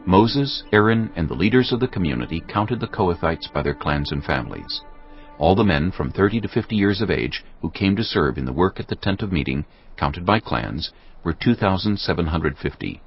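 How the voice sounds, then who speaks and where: A man narrating scripture from a script in a solemn, measured manner. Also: sits low, big pitch swings, faint music behind. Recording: slightly dull, muffled sound.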